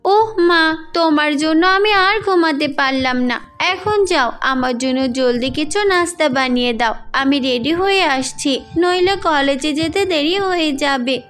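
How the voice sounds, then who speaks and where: Woman playing a high-voiced young cartoon daughter. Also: unhurried, a bit bright. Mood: hurried. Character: lively, affectionate college-age daughter, twenty-three. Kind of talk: cartoon fairy-tale voice acting.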